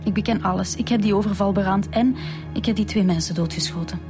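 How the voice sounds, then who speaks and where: A young woman with a Flemish accent playing an earnest student in a radio drama, speaking haltingly. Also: mid-range voice, lightly acted. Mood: remorseful. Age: young adult. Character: earnest young female student confessing to police. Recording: music behind the voice.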